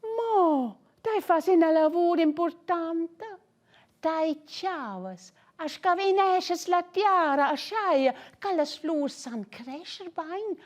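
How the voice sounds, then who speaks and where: A woman's voice: high voice, darkish tone, pitched above the performer's own voice. A woman voicing a caring owl in a children's storybook, explaining things with earnest emphasis.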